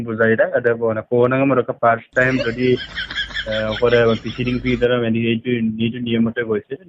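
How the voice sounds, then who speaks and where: A man's voice: mid-range voice, lo-fi sound. A man having a casual phone conversation, dropping in English words.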